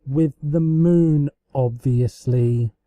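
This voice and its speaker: A man's voice: mid-range voice, very slow, mellow, no echo. A man voicing a gleefully wicked pony princess who taunts theatrically in a story reading. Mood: wicked glee.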